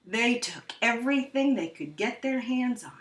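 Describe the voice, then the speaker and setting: A woman reading a children's story aloud expressively, with dramatic, drawn-out emphasis. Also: a little high, animated. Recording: roomy echo, distant microphone.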